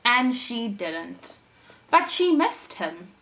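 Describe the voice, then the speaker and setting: A woman who teaches young children, telling a story with expressive, deliberate phrasing. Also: fairly high pitch, faint music behind, low-quality recording. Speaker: primary school teacher.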